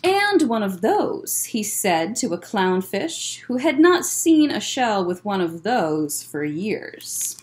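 A young American woman, a children's librarian, reading a picture book aloud to children with expressive, deliberate phrasing and short asides. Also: medium pitch, a little hiss or hum. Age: young adult. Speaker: children's librarian hosting story time. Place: indoor library room lined with bookshelves. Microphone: webcam at desk distance.

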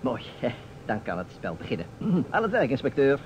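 A man's voice: mid-range voice, huge pitch swings, normal speed. An actor playing a playful man who stages a detective game, with a theatrical manner, in an old radio play. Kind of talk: vintage Dutch radio play acting. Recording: dull, noisy old radio recording.

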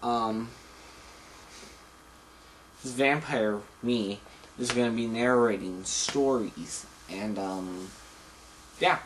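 A man's voice: medium pitch, extremely slow, long pauses, slight background noise, poor audio. A young man in his late teens chatting casually to camera as a tutorial host, rambling with lots of ums, recorded indoors by a camera mic a few feet away. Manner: relaxed, rambling, many ums. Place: indoors in front of a blue screen.

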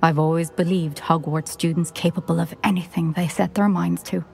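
An actress playing a former headmistress portrait, crisp and dryly witty. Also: female voice, fairly low pitch, little pitch movement, normal speed, a little mellow. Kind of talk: video game dialogue.

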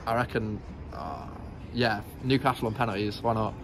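A man's voice: lowish voice, moderate pace, creaky. A young man from North East England answering a street interview as a football fan, hesitant, with many "um"s and "like"s. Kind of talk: street vox pop. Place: city street outdoors. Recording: noisy street background.

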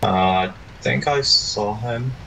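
Tired deep-voiced man gaming with a friend. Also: takes it slowly, gravelly, sharp tone, slight background noise. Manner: laid-back, tired, short replies. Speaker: co-op gaming partner. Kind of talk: casual voice chat while gaming.

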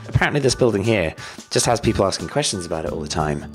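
A man in his thirties casually walks through code on a stream. His voice sits low, close on the mic, with faint music behind. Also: sing-song, fast. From Australia. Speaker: developer and live streamer. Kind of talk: live coding walkthrough, casual. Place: home office room. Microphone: studio mic on boom arm, close.

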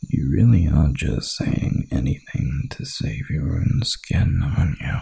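Werewolf predator, extremely husky, slow-paced, hungry, teasing menace, seductive growl, ASMR roleplay. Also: male voice, rumbling low, animated, warm tone, full character voice. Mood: mocking, sceptical. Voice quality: gravelly, breathy growl.

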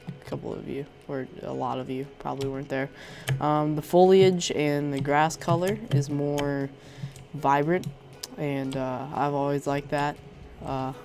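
A man's voice: a little high, a little mellow. Young man, sore throat, casual game commentary, rambling with ums, faint background music. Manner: rambling, hesitant, many ums and restarts. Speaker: Minecraft YouTuber. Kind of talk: casual gameplay showcase commentary.

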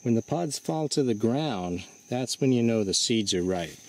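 A man vlogging outdoors under trees, talking in a relaxed, explanatory way to his handheld camera. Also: medium pitch, normal speed, low-quality recording. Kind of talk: casual outdoor show-and-tell. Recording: faint insect and cricket noise.

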